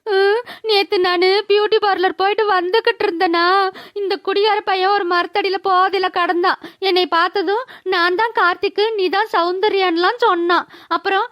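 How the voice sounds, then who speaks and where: Woman acting a vain young cartoon village girl, piercingly high pitch, accusing, whiny, rural Tamil Nadu accent, dry sound. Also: steady tone, moderate pace, no room sound, highs cut off. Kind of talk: cartoon village drama voice-over.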